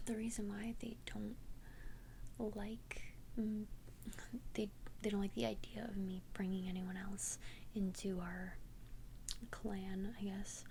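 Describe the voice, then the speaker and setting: A woman whispering in a breathy, gentle, hesitant way, confiding as a friendly vampire best friend in an ASMR roleplay. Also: medium pitch, slight background noise, low-quality recording. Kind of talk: whispered ASMR roleplay, one-sided conversation. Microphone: close ASMR microphone.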